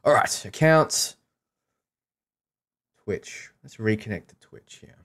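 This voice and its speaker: A man's voice: fairly low pitch, expressive, slow, a bit bright. A man with an Australian accent hosting a livestream, chatting casually and thinking aloud in an informal way. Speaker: live streamer and host.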